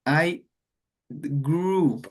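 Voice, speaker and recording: Slow-paced male teacher. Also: fairly high pitch. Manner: slow, explains in English then repeats in Spanish. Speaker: English teacher. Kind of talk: online grammar lesson.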